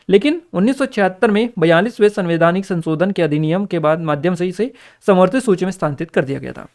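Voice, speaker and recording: A man teaching exam questions as a channel host, partly reading from notes, repeating key points and addressing students directly. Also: high-pitched. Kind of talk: explanatory lesson, partly read from notes.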